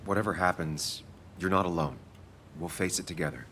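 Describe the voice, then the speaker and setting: Quiet brooding man in a game drama, deep voice, measured and sincere, bit of background noise. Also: vocal fry. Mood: apologetic, supportive. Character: brooding, introspective man gently supporting his ex-girlfriend. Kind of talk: naturalistic video game drama acting.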